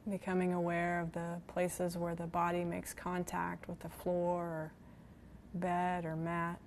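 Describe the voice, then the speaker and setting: A woman guiding a body scan meditation in a gentle, soothing manner, leaving long pauses between phrases. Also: fairly low pitch, monotone, a little hiss or hum. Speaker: meditation guide.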